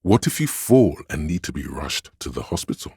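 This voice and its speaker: Extremely low male voice, very raspy, dramatic game narration, measured delivery, dry close sound. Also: very animated, mellow, no room sound. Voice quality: gravelly, husky. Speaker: voice actor narrating a video game.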